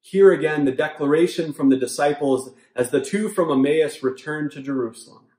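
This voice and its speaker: A man in his thirties preaches from a room at home in an earnest, measured way, his voice a little higher than most men's. The room is roomy and echoey around him. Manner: earnest, measured, softening to a hushed prayer. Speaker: pastor. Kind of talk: sermon with closing prayer. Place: home room with bookshelves, indoors.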